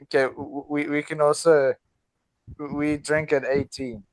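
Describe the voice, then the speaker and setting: Male podcast co-host, 30s, raised pitch, chatty and giggly, stop-start delivery, dry room. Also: flat delivery, no echo, rough recording. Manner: laughs often, halting restarts. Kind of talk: casual chat over a video call. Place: at home in South Africa.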